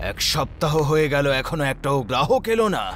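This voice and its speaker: A man playing a cold, impatient crime boss who talks in a threatening, commanding way in an animated horror story. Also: on the high side, a little fast, a little hiss or hum. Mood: stern, impatient.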